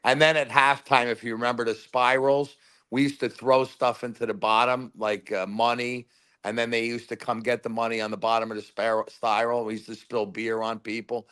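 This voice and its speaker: A man calling in to a sports talk show, telling loud, rambling fan stories and giving his game predictions. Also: medium pitch, normal speed, smooth. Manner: rambling, animated storyteller. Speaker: caller. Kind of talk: casual phone-in chat.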